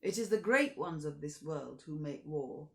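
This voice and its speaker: A woman's voice: low-pitched, animated, normal speed, gravelly. Old village man, kindly, reasonable, earnest tone, storybook reading, woman performer, reverberant room. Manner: earnest, explaining.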